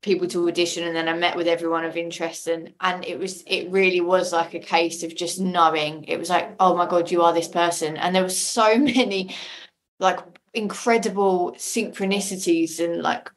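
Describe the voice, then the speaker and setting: Woman, slightly lower pitch, casual interview storytelling, effusive, emphatic repeated phrases. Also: little pitch movement, moderate pace. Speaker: audio drama creator and casting lead, interview guest.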